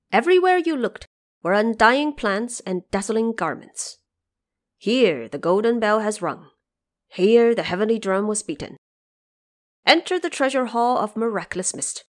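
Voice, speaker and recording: A woman narrating an audio drama in a scripted, dramatic storytelling style. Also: medium pitch.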